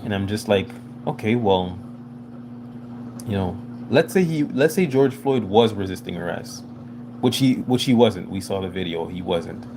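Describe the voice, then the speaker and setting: A lower-pitched man whose first language is French talks casually on his livestream, rambling and reacting to his chat with plenty of fillers. There is a clear hiss of noise under his voice. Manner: loose, rambling, fillers like "you know". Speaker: livestream host.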